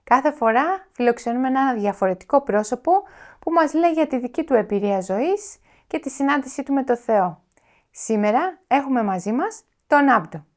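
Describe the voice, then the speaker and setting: A woman's voice: high voice, animated, a little fast. Greek woman hosting an interview. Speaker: TV interview host. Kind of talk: interview conversation.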